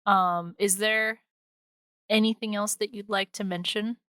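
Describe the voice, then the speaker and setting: A woman with a bright, crisp voice at an average pitch chats as a podcast host. She is effusive and informal, wandering through her thoughts. The recording sounds dry. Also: steady tone, measured pace, dry recording. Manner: gushing, informal, rambling. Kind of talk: casual podcast chat.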